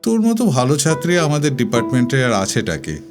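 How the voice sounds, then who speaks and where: A man's voice: medium pitch, big pitch swings, fairly quick, lightly acted. Male actor as whimsical lovestruck college boy, swooping intonation, Kolkata Bengali, poetic audio drama over guitar. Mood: flippant, dreamy. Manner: teasing, whimsical, sulky. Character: lovestruck, flippant young male university student, evasive and wistful. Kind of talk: recited dramatic Bengali poem dialogue. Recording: studio voice over guitar music. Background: plucked guitar music.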